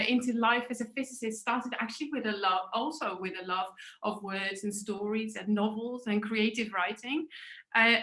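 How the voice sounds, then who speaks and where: A woman hosts an event in a warm, chatty way, with a crisp, bright voice that sits fairly high. She introduces each speaker and puts questions to them. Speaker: event host. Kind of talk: hosting an online talk event.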